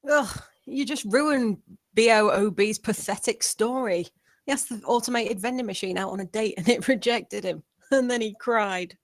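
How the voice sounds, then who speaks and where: A woman's voice: a little high, moderate pace, dry recording, natural voice. A woman playing a sullen, sardonic spaceship crew member who grumbles and sneers at a comedy table read. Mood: sullen, sneering. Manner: sneering, weary complaint.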